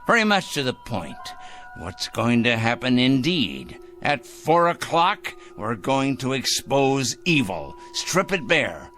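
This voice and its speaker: A fussy, secretive zealot in a radio play, obsessed with judging evil. The voice is sharp and forceful, leaping up and down in pitch. The delivery is pompous, precise and condescending, with faint music in the recording. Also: male voice, wildly swooping pitch, slow, full character voice. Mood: gleeful, obsessed. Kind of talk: radio drama acting.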